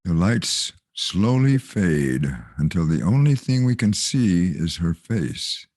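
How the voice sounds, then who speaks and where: A man reading stage directions for a drama as scripted narration, measured and solemn. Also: sits low, very animated, a little mellow, no room sound, clean studio sound.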